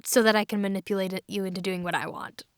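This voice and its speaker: A woman's voice: mid-range voice, steady tone, fast, clean tone, no echo, clean studio sound. A woman chatting casually in a cast discussion with a playful manner. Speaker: cast member in a behind-the-scenes discussion. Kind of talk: casual chat.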